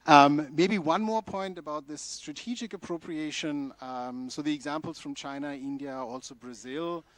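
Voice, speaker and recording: Halting male academic, thinking aloud. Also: fairly high pitch. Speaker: academic panel speaker. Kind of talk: unscripted academic discussion. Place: university conference hall.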